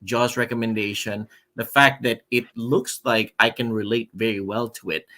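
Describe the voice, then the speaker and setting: A man's voice: medium pitch, steady tone, normal speed, pushed and bright. A man hosting a show in a casual, chatty way. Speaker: show host. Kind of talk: casual chat.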